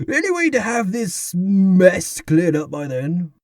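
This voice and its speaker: A pompous mayor acted by a man, with a highish voice that swings wildly up and down. The delivery is grandiose and condescending, like comic-book oratory, in a dry close recording. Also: very animated, slow, no echo, exaggerated voice. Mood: grave, theatrical. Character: pompous, theatrical male mayor of a tiny exiled community. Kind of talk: comic dub character acting.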